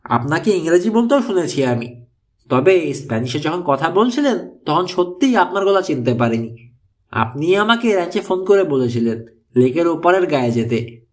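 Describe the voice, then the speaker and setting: A man voicing a clever, polite teenage boy detective in an audiobook, with a measured, reasoning manner. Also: a little high, very animated, recorded from a distance. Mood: matter-of-fact.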